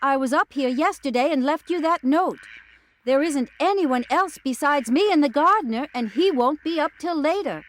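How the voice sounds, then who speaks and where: Actress as a chatty, high-voiced housekeeper. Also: female voice, animated. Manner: chatty, then indignant and hurried. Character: fussy, chatty country housekeeper woman. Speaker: cook and housekeeper. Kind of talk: radio drama acting.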